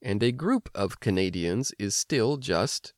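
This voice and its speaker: American guy doing a language lesson podcast, lowish voice, really animated intonation, explains stuff clearly. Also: a little slow, dry recording, clean studio sound. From United States. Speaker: language lesson host and teacher. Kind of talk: instructional podcast narration with personal anecdotes.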